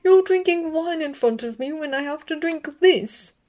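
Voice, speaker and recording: A woman's voice: sits high, expressive, moderate pace, a little mellow, voice pushed up. A woman voicing a weary, bitter man. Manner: bitter, muttering.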